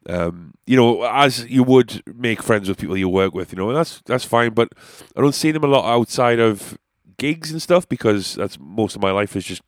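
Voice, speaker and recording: A man's voice: medium pitch, lively intonation, moderate pace, few pauses, dry recording, clean studio sound. A man hosting his own podcast, chatting in a rambling, sweary, self-deprecating way with a stuffy nose. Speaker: podcast host, comedian and musician. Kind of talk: casual rambling monologue.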